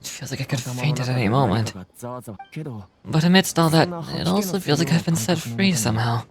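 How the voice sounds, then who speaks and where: A woman voicing a weary, humble man who has lost his memory, speaking haltingly and earnestly in video game dialogue. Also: low voice, lively intonation, a crowd in the background, voice pushed down. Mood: weary, grateful. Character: weary amnesiac man, escaped experiment captive, humble and earnest. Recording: game music behind.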